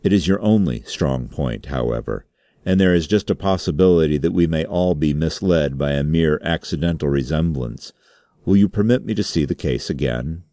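A man reading as a stern, deep-voiced chairman. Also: warm tone, dry recording. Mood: measured, grave. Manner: formal, authoritative, measured. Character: stern, grave male board chairman and magistrate. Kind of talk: audiobook character acting.

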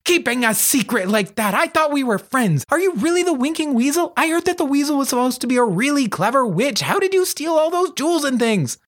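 A man voicing an excitable, bossy young schoolgirl with a breathless, demanding, dramatic manner in a storybook reading. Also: very high-pitched, moderate pace, voice pushed up, exaggerated voice. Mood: excited, demanding. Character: excitable, bossy young schoolgirl who loves adventure stories.

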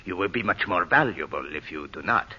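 A commanding, blunt foreign plotter in a vintage radio serial. His low voice is very forceful and swings through a lively range, with formal, clipped phrasing. The old broadcast sounds muffled. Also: fairly quick, slightly rough, full character voice. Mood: coolly commanding. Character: blunt, commanding foreign man scheming with a recruit. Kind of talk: 1930s-40s radio drama acting.

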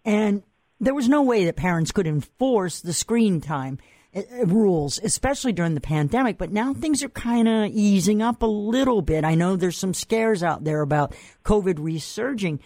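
A man's voice: high-pitched, expressive, normal speed, warm tone, dry recording, studio quality. An older man with a Massachusetts accent hosting an interview, talking in a chatty, rambling way with restarts and fillers. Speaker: host. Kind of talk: radio or podcast interview.

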